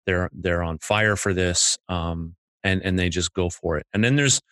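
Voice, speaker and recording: A man with a very low voice walks through his ideas step by step on a remote call. He talks methodically, pausing with ums and restarts. The sound is dry and close. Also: fairly bright tone, no echo. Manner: methodical, breaks ideas into stages, hesitant fillers. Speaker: podcast co-host. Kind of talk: explaining ideas in casual chat.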